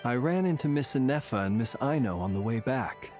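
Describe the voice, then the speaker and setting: A man's voice: mid-range voice, expressive, fairly quick, darkish tone, faint music behind, close to an everyday voice. A man playing a composed, genteel warrior in a video game, speaking formally and at length. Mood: genial, satisfied. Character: courteous, eloquent, composed gentleman warrior.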